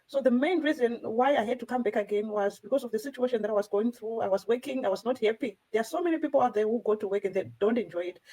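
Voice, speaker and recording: A woman talks quickly in a fairly high voice as co-host of a panel. She shares personal experience with earnest emphasis. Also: dark tone, breathy edge, low-quality recording. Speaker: co-host and business owner. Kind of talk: personal account on a discussion panel.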